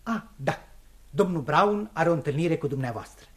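Actor în rolul unui servitor de club ceremonios, intonație foarte variată. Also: male voice, fairly high pitch, animated, close to an everyday voice. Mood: polite, officious. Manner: formal, obsequious. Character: deferential, formal club servant, polite and fussy. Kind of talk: Romanian radio theatre acting.

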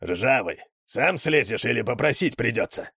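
A man's voice: medium pitch, animated, moderate pace, creaky, sharp tone, husky, dry recording, studio quality, full character voice. A man voicing a wiry, tattooed, sly male ex-convict who mocks people in prison slang. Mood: defiant.

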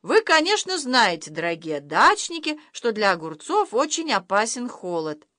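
A woman's voice: fairly high pitch, big pitch swings, moderate pace, sharp tone, dry recording, studio quality. A woman voicing a cheerful, chubby-cheeked gardening show presenter in a storybook.

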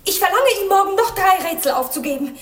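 An imperious princess, high dramatic swooping voice, old radio play. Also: female voice, animated, fast talker, fairly bright tone. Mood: haughty. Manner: declamatory, haughty. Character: proud, imperious young princess, passionate and defiant. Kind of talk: theatrical German radio play acting.

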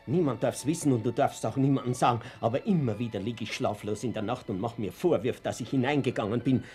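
A man's voice: medium pitch, quick pace, a little mellow, soft background music, natural voice. An actor with an Austrian accent playing an anxious, guilt-ridden middle-aged father and reluctant party member in a radio drama, speaking in a hesitant, colloquial way. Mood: shocked, remorseful. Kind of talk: naturalistic radio drama acting.

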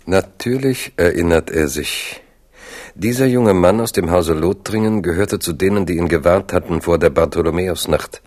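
An actor narrating a literary radio play as a knowing, measured storyteller. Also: male voice, low voice, darkish tone.

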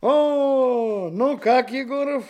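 A man's voice: extremely high pitch, extremely slow, a little mellow, exaggerated voice. A man playing a businesslike, friendly police duty officer in a Soviet radio drama. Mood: friendly, curious.

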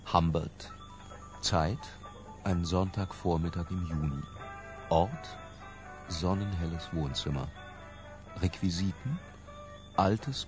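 A wry middle-aged man of letters narrates a radio play in the first person. His voice is deep and measured, over faint music. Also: a little mellow.

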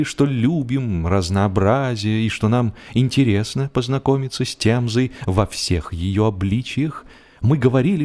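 A man narrating humorous literary prose as an audiobook, expressively and with deliberate, measured pauses. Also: mid-range voice, a little mellow.

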